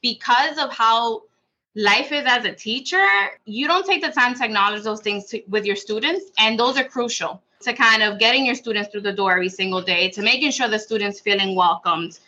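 Bright-voiced American woman, higher pitched. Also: fairly quick, highs cut off. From Boston, United States. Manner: frequent check-in tags like "right?" and "you know". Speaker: school staff member, podcast guest. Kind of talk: conversational personal account on a panel podcast.

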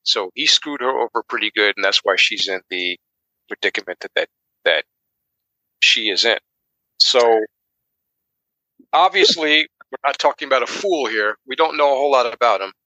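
A man co-hosts a casual book podcast with a strikingly bright, sharp voice. He speaks haltingly, thinking aloud as he goes. The recording is dry. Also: medium pitch, no room sound, rough recording. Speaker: podcast co-host.

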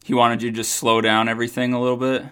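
Mid-pitched American man in casual chat. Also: monotone, fast, a bit bright, clean tone. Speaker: co-host, golf buddy.

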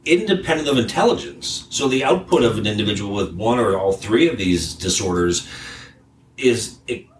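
A man's voice: fairly low pitch, animated, a little hiss or hum, poor audio. A man hosting an interview podcast from his office as a psychologist, talking loosely with many fillers and restarts. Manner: halting, lots of fillers and restarts. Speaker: psychologist host.

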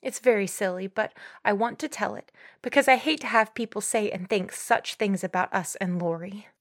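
A woman's voice: medium pitch, moderate pace, close to an everyday voice. Actress as an earnest, bashful young woman confessing. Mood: embarrassed. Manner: confessional, self-reproachful. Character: earnest, bashful young woman confiding guiltily in her mother. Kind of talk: dramatized audiobook character acting.

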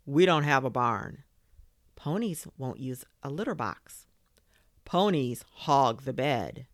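A woman voicing a weary parent in a storybook, making firm objections in an emphatic, deliberate manner. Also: a bass voice, big pitch swings, no room sound, clean studio sound, full character voice. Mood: exasperated.

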